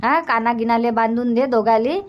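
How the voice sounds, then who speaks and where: A woman voicing a bossy older village mother-in-law in a cartoon comedy, brisk and repetitive, with a Varhadi Marathi accent. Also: fairly high pitch, dull audio, faint music behind, lightly acted. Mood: bossy, urging. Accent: Varhadi Marathi from Vidarbha.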